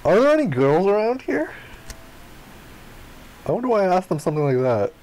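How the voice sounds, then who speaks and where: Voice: mid-range voice, big pitch swings, a little fast, darkish tone, pitched above the performer's own voice, lightly acted, low-quality recording. A let's player voicing a brash, confused young amnesiac hero of a visual novel, exclaiming dramatically. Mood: eager.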